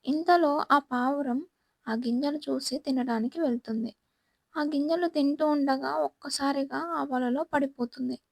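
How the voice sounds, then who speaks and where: A woman's voice: high voice, measured pace, creaky, darkish tone, no echo. A woman storyteller reading a scripted children's moral tale as narrator.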